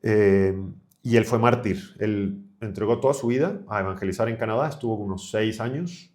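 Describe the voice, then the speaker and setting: A man's voice: on the low side, moderate pace, darkish tone. A man of thirty-five from Chile, a priest talking colloquially as a podcast guest. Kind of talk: conversational podcast interview.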